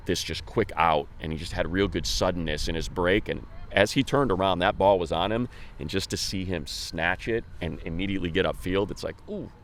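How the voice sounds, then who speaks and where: A man's voice: sits low, brisk, hardly any pauses, slight background noise. An American man hosting a sports show, talking casually in a rambling, slangy way. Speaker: sports radio host.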